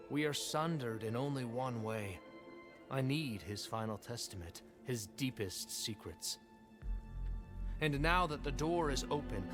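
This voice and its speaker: An actor playing a grandiose, deluded leader in a video game, speaking in a pompous, stately, declamatory way. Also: male voice, medium pitch. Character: grandiose deluded male leader believing himself an ancient savior. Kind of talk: theatrical video game cutscene acting.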